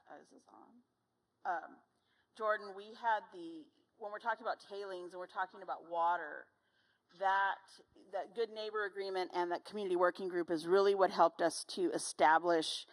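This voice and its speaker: A woman's voice: on the low side, low-quality recording. A woman, speaking conversationally as a local community representative on a panel. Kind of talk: panel discussion, conversational explaining.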